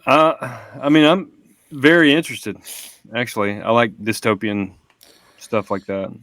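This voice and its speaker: A man's voice: mid-range voice, steady tone, unhurried, a bit bright, no echo. A man chatting casually as a podcast panelist. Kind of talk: casual chat.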